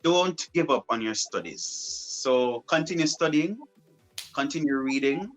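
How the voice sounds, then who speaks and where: A man's voice: medium pitch, animated, unhurried, faint music behind, rough recording. Man repeating himself. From Jamaica. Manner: repeats and restarts phrases. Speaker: broadcast journalist guest. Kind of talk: casual interview answers.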